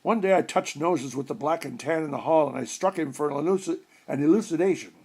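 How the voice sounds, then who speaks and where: A man reading a humorous short story aloud in an expressive storytelling style. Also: a little high, quick pace, husky. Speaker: story reader.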